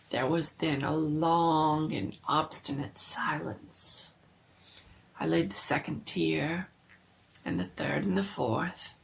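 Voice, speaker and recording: A woman narrates a gothic horror tale in a low, gravelly voice. Her delivery is very slow and theatrical, often sinking into a hush. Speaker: storyteller. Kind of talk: dramatic first-person horror story reading.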